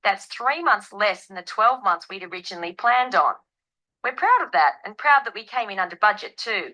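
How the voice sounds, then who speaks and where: A woman playing an upbeat club manager giving a clear, measured scripted announcement for a listening test. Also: medium pitch, lively intonation, sharp tone. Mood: upbeat, informative.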